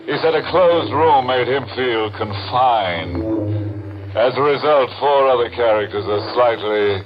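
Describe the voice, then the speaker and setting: An actor playing a mocking, ghoulish male host of an old radio horror show, slow and sly, chuckling between lines. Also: mid-range voice, animated, natural voice. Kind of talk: 1940s radio acting. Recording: lo-fi old radio broadcast with music.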